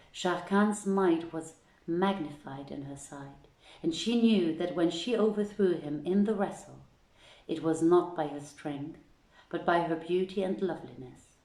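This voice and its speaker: Low-voiced woman reading slowly in an echoey room. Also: dark tone. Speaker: host and reader of a literary reading series. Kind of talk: greeting, then dramatic storybook reading.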